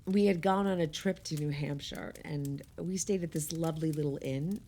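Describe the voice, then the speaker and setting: A woman's voice: low voice, normal speed, dark tone. A woman acting a wry, affectionate, sentimental friend in a natural radio play style. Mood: fond, teasing. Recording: faint background noise.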